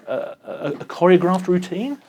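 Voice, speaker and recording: A man's voice: sits high, animated, normal speed, mellow, husky. A man in his thirties interviews casually and informally, thinking aloud as he goes. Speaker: interviewer, radio presenter. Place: large airport control tower room, indoors.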